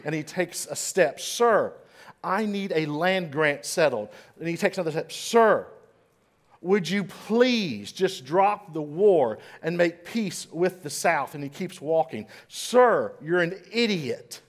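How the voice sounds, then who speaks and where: A man preaches from a church stage, swinging between loud and hushed for dramatic effect. His voice sits a touch high, and he stutters now and then. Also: lively intonation, takes it slowly. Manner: stutters at times. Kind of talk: sermon storytelling.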